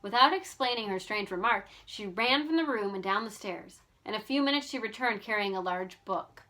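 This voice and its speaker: A woman reading a novel aloud as an amateur audiobook narrator, in an expressive storytelling style. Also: medium pitch, moderate pace, low-quality recording.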